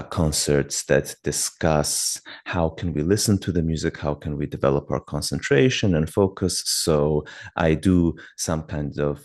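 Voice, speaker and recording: Calm, deep-voiced man in his thirties. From Georgia, raised in Hungary. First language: Georgian. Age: 30s. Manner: calm, measured, polite, with frequent fillers. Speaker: guest pianist. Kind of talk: interview conversation.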